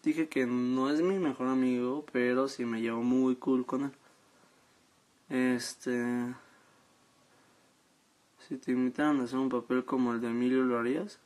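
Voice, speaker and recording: A seventeen-year-old young man with a Mexican accent, chatting casually and haltingly as he reads out and answers comments on a live stream from his phone. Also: mid-range voice, fairly even intonation, a little mellow. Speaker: social media live streamer. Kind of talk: casual chat, reading out and answering viewer comments. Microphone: phone at arm's length.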